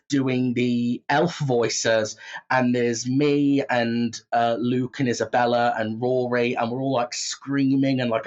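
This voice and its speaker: A man talking casually as an interview guest, telling anecdotes and acting out what people said in an animated way. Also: medium pitch, lo-fi sound. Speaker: podcast guest, audio drama producer.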